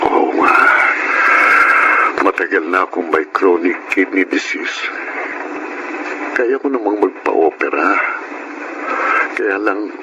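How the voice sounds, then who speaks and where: A man's voice: deep voice, takes it slowly, long pauses, muffled recording, a loud crowd. A man acting a sick, wealthy, heavy-hearted family man and boss in a Filipino radio drama. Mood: sad, weary. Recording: noisy radio-drama broadcast.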